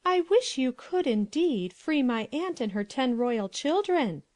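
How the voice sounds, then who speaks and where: A vain, imperious princess, speaks in a high voice with sweeping intonation. She is haughty and quick-tempered, in full storybook drama. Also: expressive, darkish tone, no echo. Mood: eager, self-absorbed.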